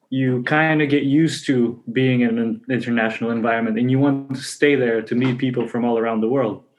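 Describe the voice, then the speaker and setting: A man's voice: medium pitch, a little hiss or hum, low-quality recording. A man from North Macedonia, a PhD graduate, talking earnestly and enthusiastically, with many fillers, in a panel talk.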